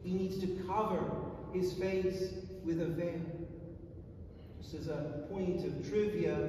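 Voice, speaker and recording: A man in his forties, an Orthodox priest, preaching a sermon in a large stone church, measured and emphatic with long pauses. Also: sits high, darkish tone, a little hiss or hum. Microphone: far camera. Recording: echoey church from far off.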